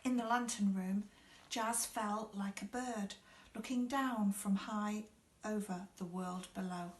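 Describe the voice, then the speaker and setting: A woman's voice: mid-range voice, fairly even intonation, slow, slight background noise. A woman reading a children's story aloud as narrator. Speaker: audiobook reader. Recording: echoey room.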